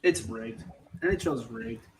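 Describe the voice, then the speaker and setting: A man's voice: medium pitch, lively intonation, a little slow, slight background noise, rough recording. A man co-hosting a casual sports podcast, easygoing and hedging with fillers. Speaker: podcast co-host.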